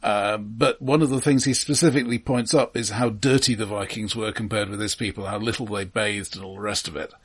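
A man co-hosting a film podcast, giving casual criticism in a measured, analytical way and qualifying his points as he goes. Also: on the low side, steady tone, husky.

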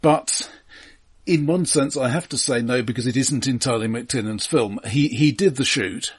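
A man's voice: mid-range voice, normal speed, husky. A man co-hosting a film podcast in casual discussion, speaking hesitantly and repeating words as he thinks aloud.

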